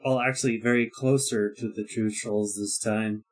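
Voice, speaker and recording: A man running a tabletop roleplaying game as game master, giving casual rulings and narration in a halting way. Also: on the low side, fairly even intonation, faint music behind.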